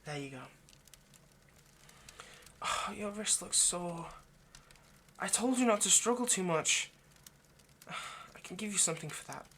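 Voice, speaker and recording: A man playing an anxious, lovesick vampire kidnapper in an intimate audio roleplay, speaking in a halting, pleading way. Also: sits high, expressive, pushed and bright, slight background noise, heavily acted. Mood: nervous, gently pleading. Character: anxious, lovesick male vampire kidnapper, centuries old but youthful.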